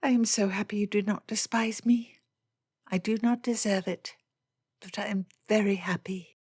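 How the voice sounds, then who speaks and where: A woman voicing a humble young lady who speaks softly and hushed. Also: medium pitch, fairly even intonation, normal speed, breathy edge, no room sound, clean studio sound, voice pushed up. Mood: grateful.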